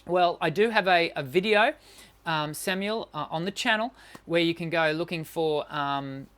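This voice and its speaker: A man's voice: fairly high pitch, normal speed, a bit bright, clear, resonant tone. A middle-aged man with a tenor voice, a singing teacher answering viewer questions on a live stream, encouraging, with many fillers and restarts.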